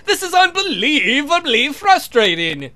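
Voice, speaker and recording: Someone performing a whiny, melodramatic vampire count, swooping pitch. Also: sing-song, a little slow, sharp tone, exaggerated voice. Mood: indignant, frustrated. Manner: whiny, theatrical outbursts. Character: exasperated, melodramatic male vampire count with short temper.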